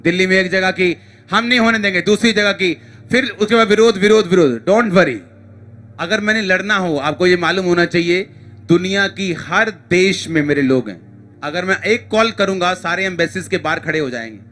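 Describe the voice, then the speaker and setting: A man's voice: high voice, normal speed, pushed and bright. A male pastor preaches to a congregation. He is emphatic and exhorting, hammering phrases by repetition. Music plays behind his voice. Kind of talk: impassioned church sermon to a congregation.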